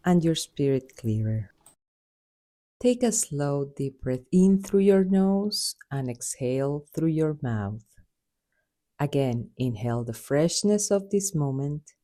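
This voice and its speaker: A woman leading a guided meditation in a soothing, unhurried manner with measured phrases. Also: low-pitched, animated, dark tone. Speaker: guided meditation host and Reiki practitioner.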